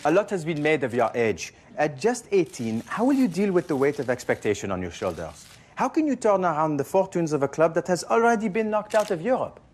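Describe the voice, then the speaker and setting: Higher-voiced probing male sports journalist. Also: sing-song, brisk, darkish tone. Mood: formal. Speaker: reporter. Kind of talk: video game cutscene acting.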